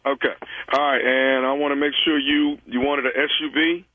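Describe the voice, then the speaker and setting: A man playing a polite, hesitant hearse driver on a prank phone call, halting yet matter-of-fact and reassuring. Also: mid-range voice, flat delivery, dry recording, lightly acted. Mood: polite, businesslike. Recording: dry, dull phone-call audio.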